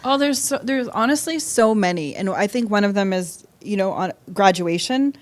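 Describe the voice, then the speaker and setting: A woman with a mid-pitched voice talks as a podcast guest. She is informal and chatty, often checking in with a short tag question at the end of a point. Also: expressive, moderate pace, slight background noise. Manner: informal, ends points with "right?". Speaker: podcast guest, college staff member. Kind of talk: casual chat in an interview.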